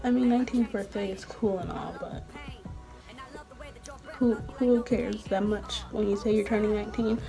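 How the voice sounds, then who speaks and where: A woman's voice: medium pitch, fairly even intonation, moderate pace, vocal fry, long pauses, music in the background. A 19-year-old woman chatting casually in her bedroom while doing her makeup, rambling with lots of "like" and "um". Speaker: beauty vlogger. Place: small bedroom.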